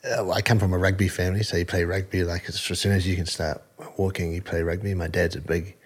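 A man with a New Zealand accent talks through an interview in an earnest, halting way, stopping and restarting his sentences. His voice sits very low, with a husky grain to it. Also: steady tone. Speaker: combat sport fighter, guest. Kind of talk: casual interview answers.

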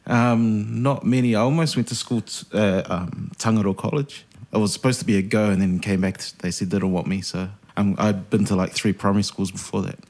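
A man's voice: lowish voice, steady tone, fairly quick, few pauses, darkish tone. A man, a podcast guest telling stories in a laid-back, self-deprecating way. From New Zealand. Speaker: podcast guest, radio host. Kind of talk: casual interview chat.

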